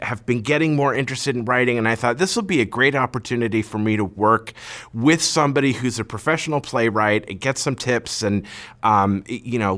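A man's voice: medium pitch, sharp tone. A man being interviewed as a theater writer and director, casually recounting how he worked. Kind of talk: casual anecdotal interview talk.

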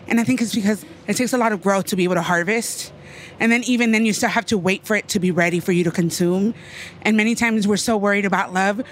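A woman's voice: mid-range voice, slight background noise. A poet from Los Angeles in her thirties, a woman speaking reflectively and lyrically as a guest in conversation. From Los Angeles, United States. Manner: lyrical and reflective, laughs easily.

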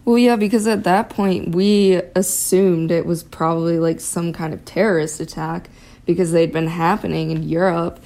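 Chatty young American woman, lowish voice. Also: steady tone, moderate pace, vocal fry, warm tone. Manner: chatty, peppered with "like". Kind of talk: casual recollection.

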